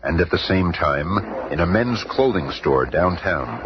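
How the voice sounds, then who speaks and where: A man's voice: low-pitched, expressive, measured pace. A man narrating a superhero adventure dramatically in a 1970s radio drama. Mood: dramatic. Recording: noisy, dull old radio recording.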